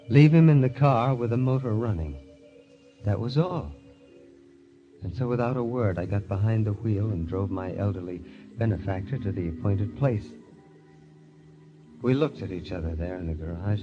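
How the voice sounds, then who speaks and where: A man's voice: on the low side, lightly acted. Man acting a haunted, dramatic radio mystery narrator. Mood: grim. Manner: dramatic, measured, heavy with dread. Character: haunted club member narrating his obsession, tense, confessional. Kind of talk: first-person golden age radio mystery narration. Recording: dull old radio recording with music.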